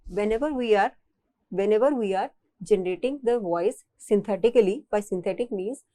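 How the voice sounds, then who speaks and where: A woman's voice: mid-range voice, a little mellow, no room sound. A woman with an Indian accent giving a technical engineering lecture, explaining step by step and often repeating and rephrasing her phrases.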